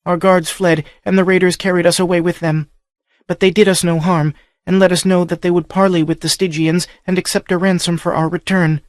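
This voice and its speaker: A desperate young noblewoman captive, voiced by a male audiobook narrator, her voice lifted high above his own. She pleads in a breathless, urgent rush, and the recording is close and dry with no room sound. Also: level tone, brisk, dry recording, natural voice. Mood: hurried, grieving. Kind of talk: audiobook character voice.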